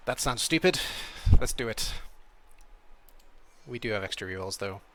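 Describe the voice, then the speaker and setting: A young British man from Wales with a slight European tilt to his accent, chatting casually while he streams a game, reading chat and thinking out loud. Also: fairly low pitch, lively intonation, moderate pace. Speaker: streamer playing a video game. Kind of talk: casual live-stream commentary.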